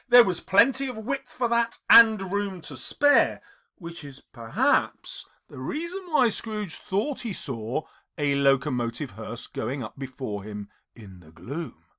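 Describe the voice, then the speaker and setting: A man's voice: a little high, very animated, takes it slowly, bright, forceful tone. A man reading a classic story as an audiobook, with theatrical, emphatic phrasing and dramatic stresses. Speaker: audiobook reader, English teacher. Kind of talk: dramatic scripted audiobook narration.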